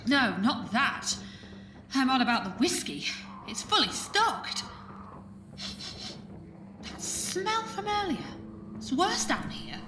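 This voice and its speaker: A woman's voice: high-pitched, very animated, slow, long pauses, noisy background, heavily acted. An actress playing a tough, sardonic, embittered woman survivor in an audio drama, speaking bluntly. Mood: wry. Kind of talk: full-cast audio drama acting. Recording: roomy sound with music behind.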